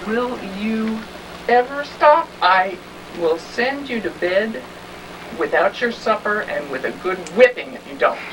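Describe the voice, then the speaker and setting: A man's voice: sits high, animated, measured pace. A man voicing an exasperated, long-suffering mother in a comic stage reading of a children's story. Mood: scolding. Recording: distant, very noisy live stage recording.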